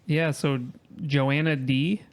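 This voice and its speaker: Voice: mid-range voice, fairly even intonation, slow, smooth. A guest panelist on a podcast, chatting casually and reading a review aloud.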